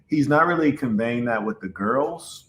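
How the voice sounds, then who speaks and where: A man's voice: mid-range voice, animated, normal speed, fairly bright tone, echoey room, slight background noise. A man hosting a casual reaction chat, talking bluntly and teasing his co-host. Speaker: podcast host.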